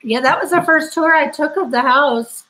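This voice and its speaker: A high-pitched woman co-hosts a relaxed live chat from home. She giggles often and tells stories in an animated way. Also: normal speed, rough recording. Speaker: co-host. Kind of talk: casual live-stream chat.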